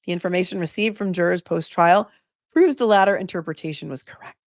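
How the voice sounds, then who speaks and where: A woman attorney hosting a channel, hoarse from a long read, reading a legal brief aloud in a measured, emphatic way and then chatting casually with a guest. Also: on the low side, no room sound, studio quality.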